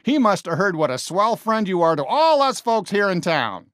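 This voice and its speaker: A man's voice: sits high, very animated, normal speed, fairly bright tone, dry recording, studio quality. A man voicing a friendly, folksy American toy cowboy sheriff in a video game, eager and encouraging with a cowboy drawl. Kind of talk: video game character voice lines.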